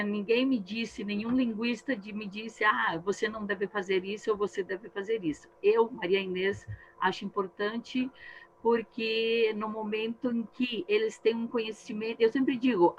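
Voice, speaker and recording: A woman's voice: medium pitch, slight background noise. A woman teacher from southern Brazil with an Italian-influenced accent, a native speaker of Italian dialect, speaking earnestly at an online meeting. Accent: Italian-influenced Brazilian Portuguese. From Antônio Prado, southern Brazil. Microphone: video call. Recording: dull lo-fi video call audio.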